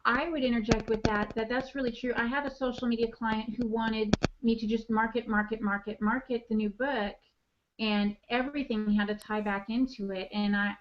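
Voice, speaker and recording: A woman's voice: a little high, steady tone, normal speed, muffled recording, noisy background. A woman co-host chatting in a friendly, anecdotal way on a live online panel.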